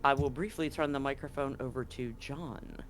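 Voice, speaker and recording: A man's voice: medium pitch, steady tone, normal speed, a little hiss or hum. A man as game master of a tabletop roleplaying game, explaining rules and recapping in a chatty way with frequent ums.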